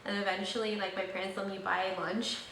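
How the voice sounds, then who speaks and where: A woman's voice: medium pitch, little pitch movement, fairly quick, fairly bright tone. A young woman hosting her vlog, chatting casually and rambling with lots of fillers. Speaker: vlogger host. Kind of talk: casual chat. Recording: echoey room, slightly distant mic, faint noise.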